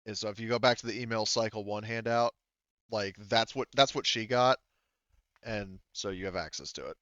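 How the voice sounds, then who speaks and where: Low, clear-voiced male game master. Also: moderate pace, bright, forceful tone, no room sound, muffled recording. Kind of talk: casual explaining in a tabletop roleplaying game.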